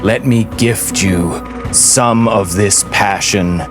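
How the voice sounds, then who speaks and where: A man's voice: on the low side, slow pace, music in the background. A man voicing a solemn, grandiose, zealous storm lord villain in a tabletop game. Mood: grandiose, beckoning.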